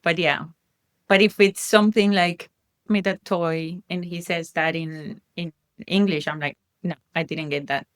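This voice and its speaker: A woman's voice: on the low side, fairly even intonation, unhurried, no room sound, clean studio sound. A woman from Colombia with a Colombian Spanish accent, a native Spanish speaker talking reflectively as a guest parent, with many restarts. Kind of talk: casual conversation.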